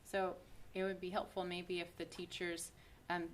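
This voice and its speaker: Lower-voiced woman teacher interviewing. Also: monotone, moderate pace, slight background noise. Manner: encouraging, sums up answers.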